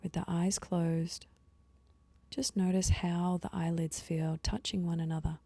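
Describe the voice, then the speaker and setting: A woman leading a guided meditation with slow, soothing instructions and pauses, her voice soft and at times breathy. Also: fairly low pitch, level tone, no room sound.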